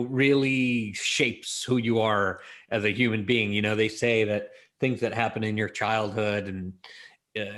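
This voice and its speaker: A man's voice: on the low side, a bit bright. A middle-aged man, born in Israel and raised in Los Angeles, chatting as an interview guest and telling stories with lots of "you know" fillers. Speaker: guest, creativity expert. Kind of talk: casual storytelling interview.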